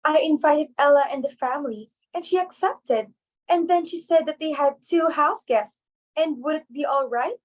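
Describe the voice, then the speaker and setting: A flustered young society daughter with a high voice, played by a woman. She is eager and anxious, pleading excitably in the theatrical manner of a stage comedy reading. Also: normal speed, natural voice. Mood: defensive, pleading.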